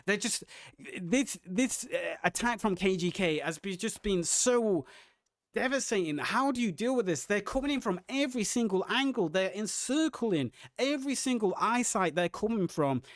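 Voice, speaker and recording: A man casting a live online match, his voice hoarse and worn as he narrates the action with rising excitement. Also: no room sound. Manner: excited, builds to high pitch on big plays. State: losing his voice. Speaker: esports caster. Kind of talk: live game commentary.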